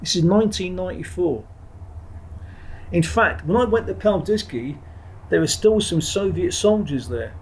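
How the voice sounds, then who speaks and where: A man's voice: high-pitched, animated, normal speed, slight background noise, rough recording. A middle-aged man from England, a former Marine trainer telling his story as a podcast guest in a blunt, digressive way, often restarting his sentences. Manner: blunt and digressive, with frequent restarts and the odd swear word. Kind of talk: reflective interview talk.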